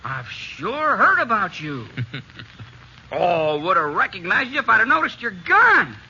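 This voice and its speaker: A little, talkative American blacksmith of the old West, friendly but wily. The voice is very sharp and forceful and swings excitedly in a folksy way, on a muffled 1950s radio drama recording. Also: male voice, high-pitched, slow pace, a little hiss or hum, full character voice. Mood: gleeful, impressed. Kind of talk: 1950s radio western acting.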